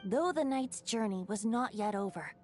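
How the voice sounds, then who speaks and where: A woman voicing a bard-like storyteller in a game, recounting a legend in measured, lyrical phrases. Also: medium pitch, animated, a little mellow, faint music behind, lightly acted. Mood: solemn, tender. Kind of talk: scripted storybook narration.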